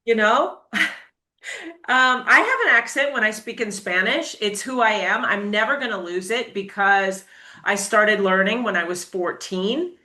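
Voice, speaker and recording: A woman's voice: mid-range voice, a little slow, pushed and bright, roomy sound. An American woman who tutors English, giving emphatic, encouraging advice in a casual interview. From United States.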